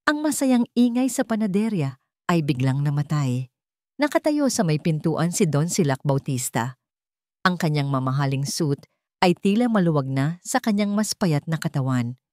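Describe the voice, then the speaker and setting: A woman reading a scripted dramatic story as narrator, with measured, deliberate phrasing. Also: sits low, very animated, darkish tone, dry recording, clean studio sound.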